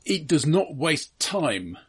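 Man, husky voice, podcast co-host, analytical, halting, dry humour, casual film criticism. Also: on the high side, very animated. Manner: analytical, halting, dryly humorous.

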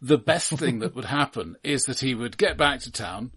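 A man with a husky, mid-pitched voice talks casually as a podcast co-host. His remarks are dry and wry. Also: lively intonation, normal speed, fairly bright tone. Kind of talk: casual film discussion.

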